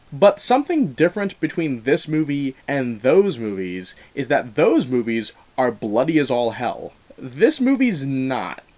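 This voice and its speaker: A man's voice: medium pitch, big pitch swings, measured pace, darkish tone. A man giving an opinionated movie review as host, talking in a casual, explanatory way with emphatic stresses.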